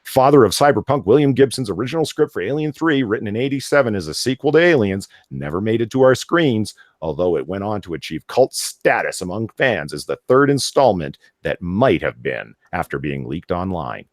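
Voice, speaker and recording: A man hosting a casual live chat, excitable and emphatic, prone to swearing, who sometimes reads aloud. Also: lowish voice, fairly quick, few pauses, dry recording, studio quality. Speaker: podcast host.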